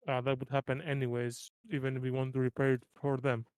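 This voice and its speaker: Man with medium pitch, dry sound. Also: little pitch movement, fast talker, darkish tone, clean tone, dry recording. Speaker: player. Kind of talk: casual tabletop game planning.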